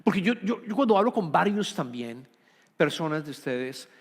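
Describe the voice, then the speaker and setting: Emphatic pastor in a reverberant hall. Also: male voice, on the high side, sing-song, moderate pace, slightly rough. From Colombia. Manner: earnest, rising to emphatic repetition. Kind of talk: sermon. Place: church hall. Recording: long reverb of a church hall.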